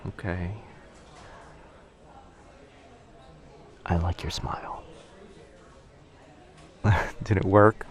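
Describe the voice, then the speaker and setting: A man playing a shy, sweetly awkward young stranger in a boyfriend ASMR roleplay, speaking softly and hesitantly in an intimate, rambling way. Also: low-pitched, fairly even intonation, slow. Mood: shy, amused. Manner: hesitant, rambling, soft-spoken with trailing phrases. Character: very shy young male stranger, sweetly awkward, overthinking coffee-shop crush.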